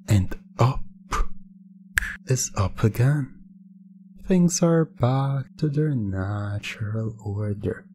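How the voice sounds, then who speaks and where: A man performing hypnosis ASMR in a soft, husky, breathy voice, speaking deliberately with a teasing, commanding manner. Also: lowish voice.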